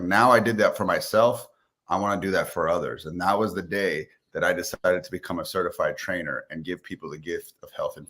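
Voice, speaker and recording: A man's voice: low-pitched, fairly bright tone. A man speaking as a podcast guest, telling his own story in an upbeat, colloquial, confident way. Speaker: podcast guest, fitness entrepreneur. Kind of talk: conversational personal storytelling.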